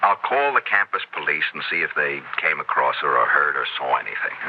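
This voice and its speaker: An actor playing a skeptical, rational English professor in a 1970s radio drama, reasoning in a conversational, hesitant way. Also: male voice, extremely low pitch, sing-song, fairly quick, full character voice. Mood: dismissive. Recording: muffled, noisy old radio broadcast.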